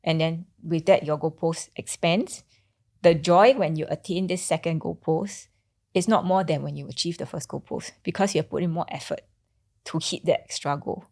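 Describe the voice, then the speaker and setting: Woman, Singaporean accent, lower pitch, frequent creak, casual explanatory podcast chat. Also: moderate pace, a little mellow. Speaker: podcast co-host.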